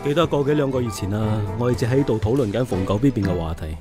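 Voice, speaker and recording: A man's voice: mid-range voice, quick pace, music in the background, close to an everyday voice. An actor playing an easygoing, free-thinking man near forty in a radio drama. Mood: teasing, upbeat.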